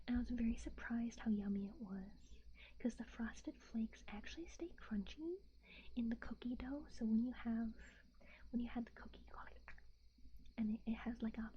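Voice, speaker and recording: A young woman from the United States whispering in a soft, rambling ASMR chat, with fillers and little giggles. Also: on the high side, lo-fi sound, a little hiss or hum.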